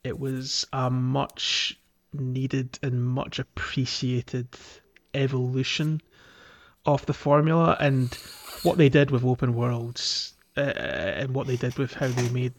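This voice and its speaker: Casual male podcast host, medium pitch, an enthusiastic gaming fan in conversation. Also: steady tone, takes it slowly. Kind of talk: casual chat.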